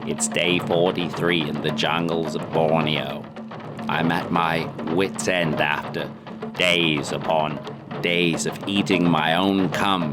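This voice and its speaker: A man playing a weary, melodramatic male explorer recording a solemn audio diary in mock old-time radio style. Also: very deep voice. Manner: slow, solemn, drawn-out delivery. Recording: loud music under the voice. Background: loud music.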